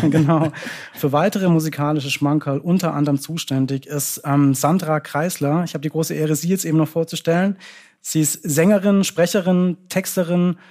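Male moderator on stage, slightly high voice, hesitant and filler-heavy. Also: fairly even intonation, moderate pace, darkish tone. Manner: hesitant, many fillers and restarts. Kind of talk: live stage interview. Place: cultural centre stage with audience.

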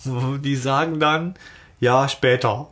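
A man's voice: medium pitch, steady tone, takes it slowly, a bit bright. A man co-hosting a casual fan podcast, retelling a story in slangy, mocking banter and acting out lines. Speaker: podcast co-host.

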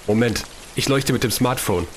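A man playing a brisk, practical explorer in a German science-fiction audio drama, urging his companions along. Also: medium pitch, lightly acted. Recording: faint background noise.

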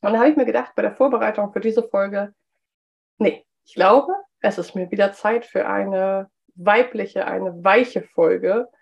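A woman hosting a solo business podcast, slightly hoarse from a cold and chatty, with frequent filler sounds. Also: medium pitch, a little slow, highs cut off. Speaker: podcast host.

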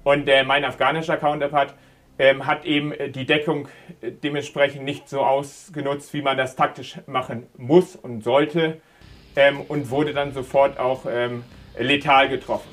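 A man's voice: mid-range voice, flat delivery, moderate pace, fairly bright tone. A man, a former military commander, recounting a firefight in a hesitant, matter-of-fact way with many fillers. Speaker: soldier, ground force commander. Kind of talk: recorded interview account.

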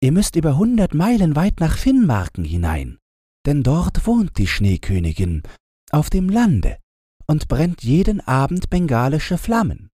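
A kindly old woman of the far north, acted out by a man, pitched high with a husky edge. She gives pitying, practical advice in a storybook tale. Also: wildly swooping pitch, unhurried, mellow, no room sound, clean studio sound, full character voice. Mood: pitying, helpful. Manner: sympathetic, advising.

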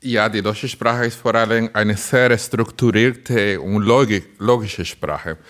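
A man from the Dominican Republic whose first language is Spanish, speaking with a Spanish accent as a guest on a podcast, earnest and slow, often searching for words. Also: on the low side, fairly bright tone. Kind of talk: podcast interview.

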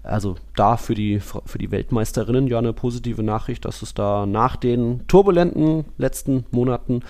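A man's voice: mid-range voice, normal speed, warm tone. A man co-hosting a casual football podcast, rambling through his analysis with frequent fillers and restarts. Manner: rambling, self-correcting analysis with many fillers. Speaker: podcast co-host.

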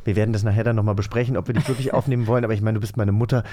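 A man's voice: on the low side, fairly even intonation, rapid-fire, mellow, slight background noise. Playful man hosting a chatty podcast. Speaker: podcast co-host. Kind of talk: casual, joking podcast chat.

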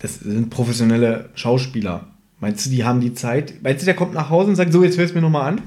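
A man's voice: medium pitch, fairly even intonation, fairly quick, dark tone. A man, a podcast host chatting casually and humorously in his living room, sharing one microphone. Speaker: podcast host and audio drama fan. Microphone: one microphone shared with co-host.

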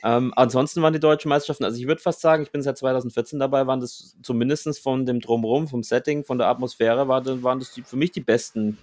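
A man hosting a podcast conversation, explaining things at length with frequent filler pauses. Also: medium pitch, steady tone, a little mellow. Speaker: podcast host.

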